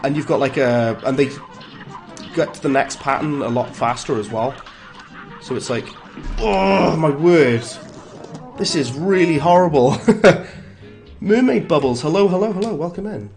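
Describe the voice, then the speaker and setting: A man's voice: on the high side, lively intonation, measured pace, long pauses, a little mellow, faint music behind. A man with a Welsh accent streaming a game live, chatting casually, greeting viewers and reacting aloud to the play. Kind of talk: live gameplay commentary and chat replies.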